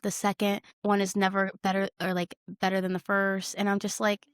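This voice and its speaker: Woman cohost just chatting on a podcast, animated storyteller, normal pitch, dry room. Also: brisk, smooth, no echo. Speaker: podcast co-host. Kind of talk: casual podcast chat.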